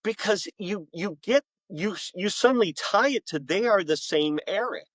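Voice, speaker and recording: A man's voice: high voice, animated, moderate pace, fairly bright tone, no echo. A middle-aged man, a podcast guest and author, telling casual anecdotes in an enthusiastic, rambling way.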